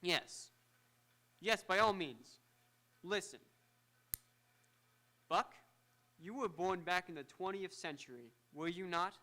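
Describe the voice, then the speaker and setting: A man playing an excitable, bright-voiced scientist inventor. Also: high-pitched, takes it slowly, long pauses, full character voice. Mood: curious. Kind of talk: old-time radio drama acting. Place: live on an auditorium stage.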